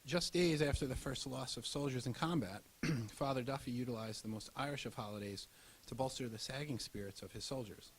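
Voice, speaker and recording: A man's voice: mid-range voice, brisk, few pauses, rough recording. Man narrating history over light hiss. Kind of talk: scripted documentary narration read aloud. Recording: audible hiss and background noise.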